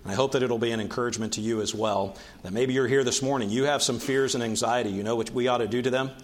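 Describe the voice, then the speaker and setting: A man's voice: mid-range voice, fairly even intonation, fast. Earnest man speaking in church. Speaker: camp director speaking at a church service. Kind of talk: church announcement and short devotional.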